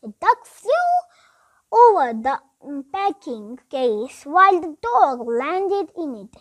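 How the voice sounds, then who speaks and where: A young schoolchild with a high voice reads a story aloud. The delivery is very lively and emphatic, swinging up and down as in a class recitation, with small stumbles over the words. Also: slow pace. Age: child, about eight.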